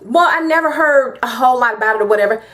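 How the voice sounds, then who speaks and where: Southern US woman vlogger, slightly high voice, emphatic, repeats her points, direct address to camera. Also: fast talker, a bit bright. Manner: emphatic and repetitive, talks straight to viewers. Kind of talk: casual opinion vlog.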